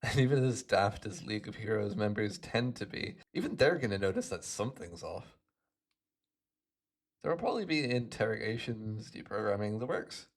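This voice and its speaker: A man voicing a smug, playful hypnotist supervillain in an ASMR roleplay, gloating and teasing the listener in a gravelly voice. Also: medium pitch. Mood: ominous, casual. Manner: gloating, teasing, theatrical, swooping between murmurs and exclamations.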